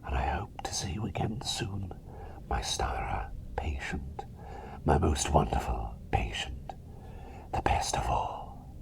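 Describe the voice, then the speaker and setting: A man's voice: extremely low pitch, very animated, takes it slowly, creaky, heavily acted. Man playing a whispery, eccentric, cheery plague doctor. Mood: soothing, tender. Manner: whispery, rambling, hesitant, soothing. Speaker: ASMR roleplay performer. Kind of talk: whispered ASMR personal attention roleplay.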